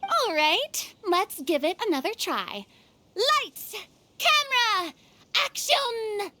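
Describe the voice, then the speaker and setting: An excitable young director in an anime-style game. She is very high and piercingly bright, bossing people around with theatrical, swooping exclamations. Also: slow, a little hiss or hum, exaggerated voice. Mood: brisk, directing. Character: excitable bossy young female film director.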